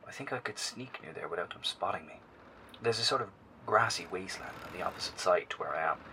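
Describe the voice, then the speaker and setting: Bold scout, low-pitched, crisp edge, radio report, horror audio drama. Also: male voice, lively intonation, fast, sharp tone, full character voice. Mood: focused, cautious. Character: determined, risk-taking bunker scout reporting by radio. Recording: noisy radio link with ambience.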